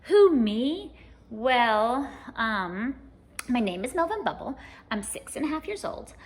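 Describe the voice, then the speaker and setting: Woman as an earnest little boy, high-pitched. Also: sing-song, slow. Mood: earnest, proud. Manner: earnest, simple listing. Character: six-year-old boy, sweet, earnest, chatty.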